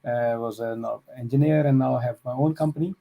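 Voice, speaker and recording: A man's voice: mid-range voice, brisk, highs cut off. A man, a tech entrepreneur from Pakistan, speaking as a friendly, earnest guest on a video call.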